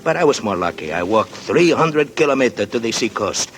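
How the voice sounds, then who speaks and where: An actor playing a genial Mexican cafe owner and former rebel captain, with a Mexican Spanish accent and halting English mixed with Spanish. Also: male voice, medium pitch, sing-song, a bit bright, a little hiss or hum. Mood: reminiscing. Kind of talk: 1940s radio acting.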